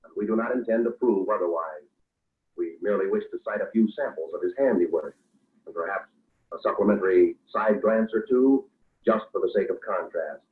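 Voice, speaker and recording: A man playing a grave, sardonic newsman narrator in measured 1940s radio style, replayed through a speaker. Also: deep voice, distant mic. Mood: grave, ironic. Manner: measured, grave, ironic oratory. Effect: played through a speaker into a call. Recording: tinny, roomy old radio playback.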